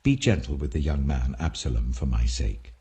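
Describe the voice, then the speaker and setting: A man voicing a grave, gentle ancient king in a scripture audiobook, calm and regal. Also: rumbling low, moderate pace, pitched below the performer's own voice. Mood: resigned, tender.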